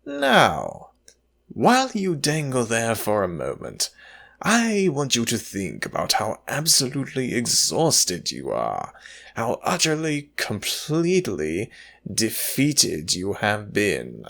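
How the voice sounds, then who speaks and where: A man acts out a cruel slave master in a theatrical audio roleplay. His delivery is extremely slow, with wildly varied intonation and a creaky edge. He lingers on words to taunt and belittle. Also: medium pitch, wildly swooping pitch, a bit bright, full character voice. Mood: menacing, gloating. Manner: taunting, condescending, drawn-out emphatic phrasing. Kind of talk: ASMR audio roleplay, theatrical villain monologue.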